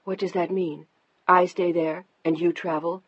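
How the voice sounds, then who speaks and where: A narrator voicing a tearful, defiant middle-aged wife who pleads and shouts, in a slightly muffled dry recording. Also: female voice, level tone, measured pace, slightly rough, dry recording. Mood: distraught defiance. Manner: pleading and shouting, high-strung.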